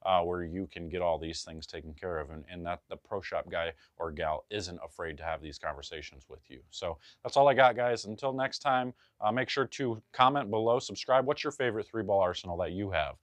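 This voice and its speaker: A man's voice: low voice, brisk, hardly any pauses, no room sound. A man, talking casually to camera from a bowling pro shop and giving loose, chatty advice with jokey asides. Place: bowling pro shop in front of a ball wall.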